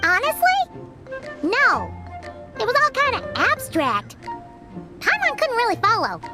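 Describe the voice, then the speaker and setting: A tiny, cheeky fairy girl in a video game. The voice is extremely high, squeaky and childlike, bouncing about over prominent music. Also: huge pitch swings, measured pace, heavily acted. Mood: deadpan, unimpressed. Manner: speaks of herself in third person.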